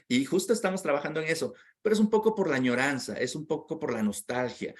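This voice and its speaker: A man as an interview guest, telling a personal story in a heartfelt, reflective way and often repeating words while he searches for them. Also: mid-range voice.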